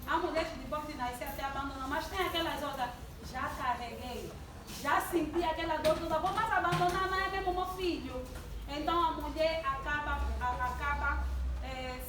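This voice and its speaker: A woman in her twenties giving a sermon-style talk to a congregation, asking the audience questions and drawing responses. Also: high-pitched. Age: 20s. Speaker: church youth speaker. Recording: distant mic in an echoey hall with background noise.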